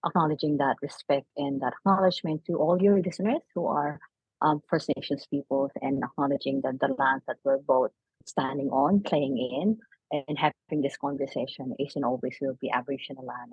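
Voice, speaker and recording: A woman's voice: extremely low pitch, steady tone, a little fast, breathy edge, dry recording. A woman from the Philippines with a Filipino accent, a native Tagalog speaker, talking conversationally as an interview guest. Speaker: podcast guest, non-profit founder. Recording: dull lo-fi remote call audio.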